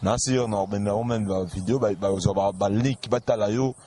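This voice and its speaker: A man's voice: on the low side, steady tone, normal speed, dark tone, slight background noise. A man with a Congolese accent chatting casually as an interviewer.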